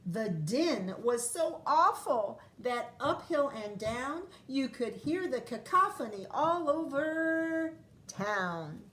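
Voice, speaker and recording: A woman teacher reading a picture book aloud to young children, pausing to ask questions and explain words. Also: high-pitched, sing-song, a bit bright. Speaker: first grade teacher. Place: classroom or home, recorded for video.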